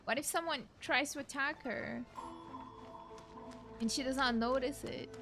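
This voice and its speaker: A woman's voice: sits high, a little slow. A woman streamer giving casual, rambling reaction commentary while she plays a video game. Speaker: gaming streamer. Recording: game music behind the voice.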